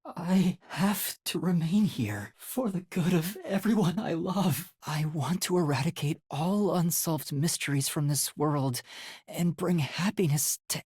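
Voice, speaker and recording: A man playing an earnest, anguished young detective in training in a video game, with a light, boyish, breathy voice and a hesitant, emotional delivery. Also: a little high, unhurried, darkish tone, no room sound, studio quality. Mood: somber, resolute. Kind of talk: dramatic English video game voice acting.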